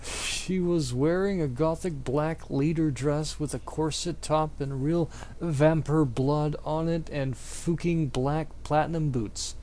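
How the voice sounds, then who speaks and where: A man's voice: fairly high pitch, slow pace, dark tone, slight background noise. A man giving a mock-dramatic amateur reading of fiction, with a theatrical, exaggerated delivery. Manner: theatrical, exaggerated delivery, often breaking into giggles. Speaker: amateur reader of fan fiction.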